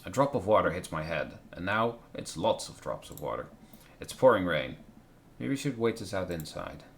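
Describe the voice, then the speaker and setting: A man's voice: deep voice, very animated, moderate pace, gravelly. A man reading a visual novel aloud on a stream, breaking off with wry commentary. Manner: wry, interjects remarks on the script. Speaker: game streamer, co-reader. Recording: faint noise on a live stream.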